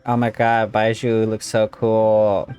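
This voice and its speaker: A man's voice: on the low side, monotone, slow pace, darkish tone, smooth. A man hosting a gaming video, reacting casually in an exclamatory, slangy way. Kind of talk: casual game reaction commentary. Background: game music.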